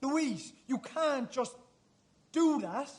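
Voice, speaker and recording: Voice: high voice, lively intonation, very slow, exaggerated voice. An actor playing an aggressive, foul-mouthed, volatile accuser in a radio play, confrontational and repetitive. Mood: flustered.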